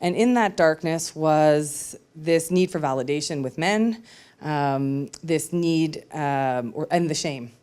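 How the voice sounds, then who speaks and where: A woman's voice: sits low, slow pace, darkish tone, clear, resonant tone. Candid woman telling her story on stage. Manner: candid, reflective, with frequent hesitations. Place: live storytelling event with audience.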